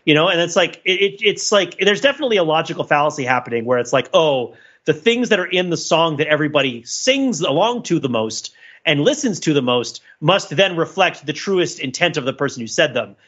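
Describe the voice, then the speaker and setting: Animated, husky man thinking aloud. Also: a little high, brisk, lo-fi sound. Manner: rambling, digressive, thinking aloud. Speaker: podcast co-host. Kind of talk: casual, opinionated cultural commentary.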